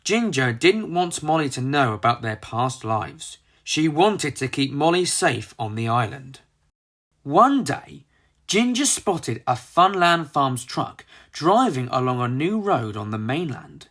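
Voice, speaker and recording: Animated children's storybook narrator. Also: medium pitch, slow pace.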